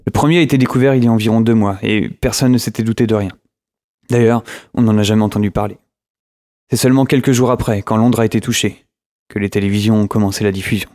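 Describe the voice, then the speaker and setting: Audio drama lead: weary, isolated male survivor played by a man, halting and brooding, fairly low, very dry recording. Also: fairly even intonation, darkish tone, no echo, close to an everyday voice. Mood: brooding, subdued. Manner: halting, brooding, with pauses and hesitations. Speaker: narrator and lead actor.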